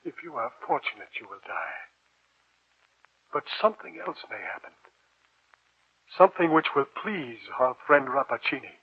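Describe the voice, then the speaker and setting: A man's voice: mid-range voice, big pitch swings, husky. An actor playing a concerned older professor, an earnest and solemn fatherly mentor, in a 1940s radio drama style. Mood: solemn warning. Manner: earnest, deliberate, solemn warnings. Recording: muffled vintage 1940s radio recording.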